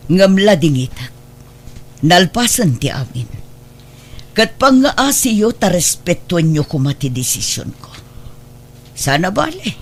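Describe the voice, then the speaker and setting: A woman's voice: fairly low pitch, slight background noise, heavily acted. An actor playing a stern, resolute older wealthy adoptive mother with an Ilocano accent in a radio drama.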